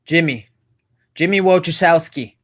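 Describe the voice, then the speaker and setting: A man's voice: on the high side, a little slow. A man playing a nervous, apologetic screenwriter in a table read, speaking in a hesitant, awkward way. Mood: guarded, firm. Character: nervous, apologetic young screenwriter out of his depth.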